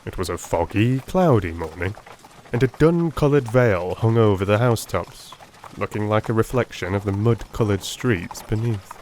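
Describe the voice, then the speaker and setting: A man narrating an audiobook in a dramatic, measured, literary style. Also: fairly low pitch, animated, a little mellow, slight background noise, low-quality recording.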